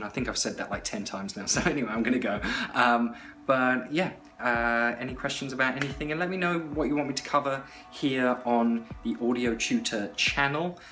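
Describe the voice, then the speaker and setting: A man with a fairly low voice talks as the host of an online audio tutorial, with faint music behind him. He explains informally and conversationally, wandering through his points and circling back to repeat them. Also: a bit bright. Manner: conversational, rambling, repeats himself. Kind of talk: informal explainer talk to camera.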